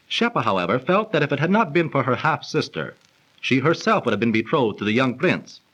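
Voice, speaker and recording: A man's voice: mid-range voice, fairly bright tone, poor audio. An actor playing a genial, learned young man who explains legends in polished, theatrical 1930s radio style. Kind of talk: 1930s radio acting and storytelling. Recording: old 1930s radio transcription recording.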